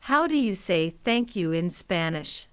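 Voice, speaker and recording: A woman reading short English phrases one at a time for a language lesson, in a measured, scripted way. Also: on the low side, animated, fairly bright tone, no echo. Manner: clear, measured prompts with pauses.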